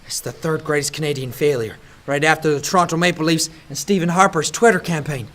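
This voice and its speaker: Radio comedy narrator-hero, male actor: polite policeman, slightly high voice, mock-serious, scripted delivery. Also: steady tone, a little fast, fairly bright tone, natural voice. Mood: exasperated. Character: earnest, over-polite Canadian policeman narrating his adventure.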